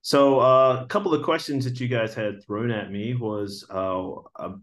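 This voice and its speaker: A man's voice: medium pitch, fairly even intonation, normal speed. A man in his forties with an Australian accent, earnestly introducing himself for a teaching job, with many fillers and restarts, recorded on a webcam indoors. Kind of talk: video job application talk. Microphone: webcam video recording.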